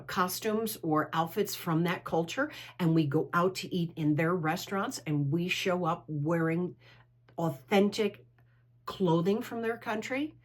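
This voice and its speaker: Middle-aged woman, kinda low voice, chatty and opinionated, wanders off into stories. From United States. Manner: candid, opinionated, digressive storytelling. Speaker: women's travel club host. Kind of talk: interview answer, conversational monologue.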